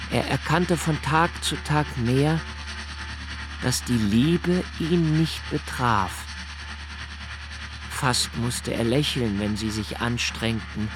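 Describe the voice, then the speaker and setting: An actor playing a brooding, intense male narrator in a literary radio drama, with halting, contemplative and emphatic phrasing. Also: medium pitch, very noisy, natural voice. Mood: urgent, reflective. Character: brooding male narrator reciting a prodigal's homecoming, searching and intense.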